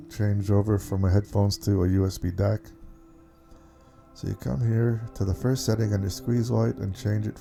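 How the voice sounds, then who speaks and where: A man giving a casual, unscripted software walkthrough, explaining things step by step in an informal way. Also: on the low side, creaky. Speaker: tutorial presenter.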